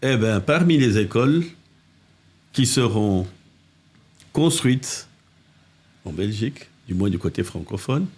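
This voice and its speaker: An older man from Congo, a mayor telling animated anecdotes in a casual interview, with restarts and asides, recorded on a living-room podcast set. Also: on the low side, takes it slowly, long pauses, breathy edge. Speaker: mayor, political guest.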